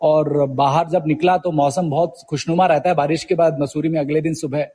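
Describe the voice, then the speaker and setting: Husky higher-voiced man in reverb. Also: fairly even intonation, normal speed, dark tone. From Uttarakhand, India. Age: 40s. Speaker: guest poet. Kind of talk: conversational interview storytelling.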